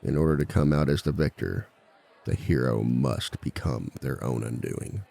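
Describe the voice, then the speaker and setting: A man playing a reformed villain who lectures at a seminar on romance in a measured, articulate, formal and dryly self-assured way. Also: extremely low pitch, animated, creaky, dark tone, full character voice. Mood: dry, confident. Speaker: seminar speaker and relationship expert. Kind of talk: scripted comedic lecture roleplay.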